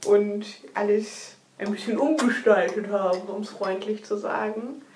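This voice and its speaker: A woman's voice: medium pitch, unhurried, a little mellow. Analytical woman critiquing an episode, echoey room. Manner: analytical, critical, argues points through. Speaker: podcast co-host. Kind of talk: casual TV episode recap. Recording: roomy echo with background noise.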